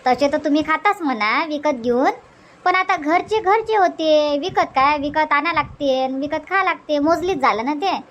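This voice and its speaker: A woman's voice: high voice, normal speed, muffled recording, natural voice. A woman voicing a middle-aged, nagging but caring farm mother in an amateur cartoon comedy, with a rural Vidarbha Marathi accent. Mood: caring, coaxing.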